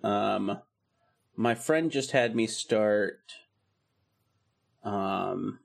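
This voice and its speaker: A man's voice: lowish voice, extremely slow, vocal fry. A man co-hosting a podcast in casual chat, laid-back and easily enthused, with many "um"s. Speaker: podcast co-host.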